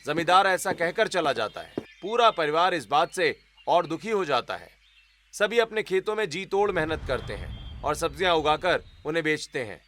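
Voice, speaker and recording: A man's voice: a little high, lively intonation, moderate pace, fairly bright tone, slight background noise. A man narrating a scripted moral story as the storyteller.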